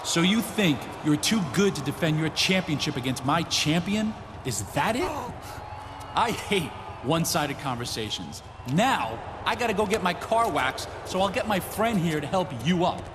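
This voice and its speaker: A man's voice: a little high, lively intonation, moderate pace, natural voice. A man playing a smug, irritable, scheming wrestling boss in a video game, with a sarcastic, smarmy manner. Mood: irritated sarcasm. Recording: noisy ambience behind the voice.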